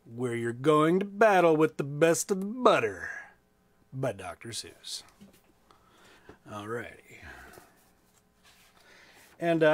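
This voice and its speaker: A man's voice: mid-range voice, no echo. An American man hosting a children's storytime, reading playfully with chatty, casual asides. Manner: playful, animated, dramatic drawn-out reading. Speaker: storytime reader and host.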